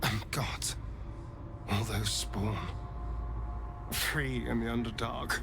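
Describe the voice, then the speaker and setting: An actor playing a weary, wry vampire spawn in a dramatic video game, with halting, deliberate phrasing. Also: male voice, medium pitch, fairly even intonation, vocal fry. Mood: numb, overwhelmed. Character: weary male vampire spawn, wry, newly freed after centuries. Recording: game music behind the voice.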